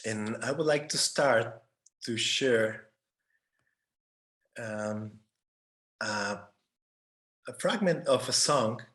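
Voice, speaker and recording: A man from Mexico with a Mexican Spanish accent giving an informal talk as a panelist, halting as he searches for words. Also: medium pitch, lively intonation, a bit bright. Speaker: panelist, conservation photographer. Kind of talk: informal presentation to an online audience.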